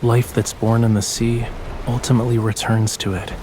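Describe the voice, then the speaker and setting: An actor playing a young, brooding, teasing artist in a romance game, speaking softly and intimately. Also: male voice, medium pitch, little pitch movement, normal speed, background noise, natural voice. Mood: tender, brooding. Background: music behind the voice.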